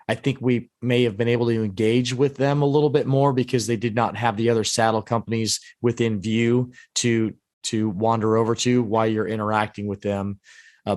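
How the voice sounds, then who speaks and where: A hoarse man co-hosting a podcast, recapping a trade show in long rambling stretches with many restarts. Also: medium pitch, dry recording. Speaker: podcast co-host. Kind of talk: casual podcast chat.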